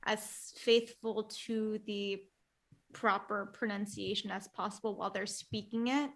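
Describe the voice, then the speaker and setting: A woman's voice: mid-range voice, steady tone, unhurried. A young woman from the United States who grew up speaking Romanian, hosting a chatty interview on a headset from a small room, with many um fillers. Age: young adult. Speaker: host. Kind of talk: casual video-call interview.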